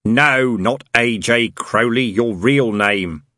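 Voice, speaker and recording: A man voicing a malicious senior male demon who speaks slowly and coldly. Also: medium pitch, sharp tone, no room sound, clean studio sound. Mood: menacing. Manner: slow, drawn-out, menacing delivery.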